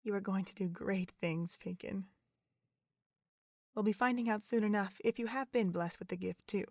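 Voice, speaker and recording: Actress as calm motherly pony doctor lady, medium pitch, gentle, deliberate, really dry audio drama sound. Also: no room sound, close to an everyday voice. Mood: reassuring, solemn.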